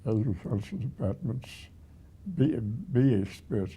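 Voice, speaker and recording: A man of about a hundred with a husky, aged voice, reminiscing haltingly as a retired museum director. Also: mid-range voice, dark tone. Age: 100. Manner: halting, with repeated words and pauses.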